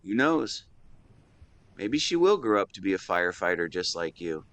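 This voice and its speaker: A man playing an affectionate, playful young best friend in a romantic audio roleplay, speaking to the listener in a teasing, tender, expressive way. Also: low voice, moderate pace, dry recording, exaggerated voice. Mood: teasing, fond.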